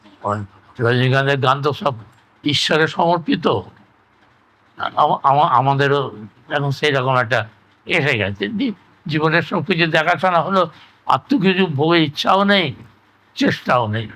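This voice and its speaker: An elderly man reminisces in casual conversation, reflective and halting, often repeating himself. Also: on the high side, animated, a bit bright. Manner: halting, reflective, trailing into repetitions. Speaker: interview guest, retired engineer recalling life.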